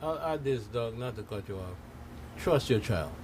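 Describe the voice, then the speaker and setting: A man's voice: medium pitch, animated, moderate pace, a crowd in the background. A man in a casual radio discussion, talking earnestly and anecdotally as a panelist.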